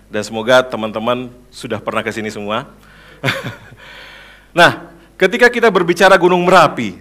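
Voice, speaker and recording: A man's voice: a little high, a bit bright. A man in his thirties from Padang, Indonesia, telling his own story in a prepared public talk as a guest speaker. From Padang, West Sumatra, Indonesia. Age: 30s. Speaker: coffee shop owner, guest speaker. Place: room in front of an audience.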